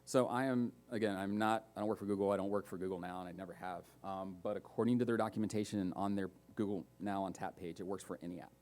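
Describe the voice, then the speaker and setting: A man's voice: low-pitched, fast talker, hardly any pauses. A man speaking at a developer conference, talking casually about speech recognition and taking audience questions, with many fillers and asides. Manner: relaxed, lots of fillers and asides. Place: conference room.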